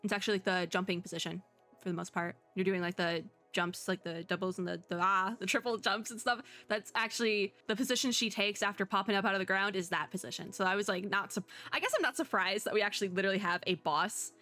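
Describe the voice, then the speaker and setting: A woman's voice: medium pitch, fairly even intonation, fast talker, bright, forceful tone. A young woman gives casual, slangy reaction commentary as a streamer, rambling and thinking aloud. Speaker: streamer reacting to game previews. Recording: music bed under the voice. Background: background music.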